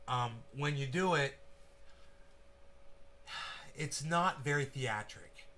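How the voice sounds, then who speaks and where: A man's voice: medium pitch, slow, pushed and bright. A man hosting a casual livestream, rambling and swearing freely while making sound effects with his mouth. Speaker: channel host.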